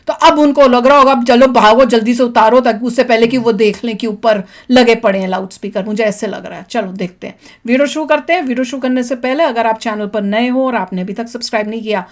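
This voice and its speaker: A woman's voice: fairly high pitch, fast, few pauses. A woman with an Indian accent hosting a reaction video, giving casual, opinionated commentary in a chatty way and repeating phrases for emphasis.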